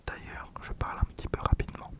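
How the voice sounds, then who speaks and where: A young adult vlogger whispering a rambling vinyl haul late at night, tired and often correcting themselves. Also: fast.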